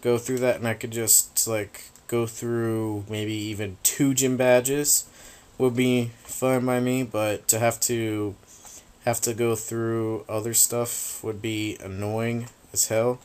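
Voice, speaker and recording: A man giving rambling, casual commentary over a video game battle, swearing offhandedly, his voice getting raspy from talking a long time. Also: medium pitch, little pitch movement, slow pace, darkish tone.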